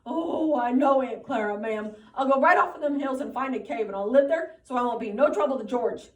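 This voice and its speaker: A woman's voice: mid-range voice, brisk, echoey room, far from the mic, lightly acted. A woman reading a plaintive simple-minded big man. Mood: pleading.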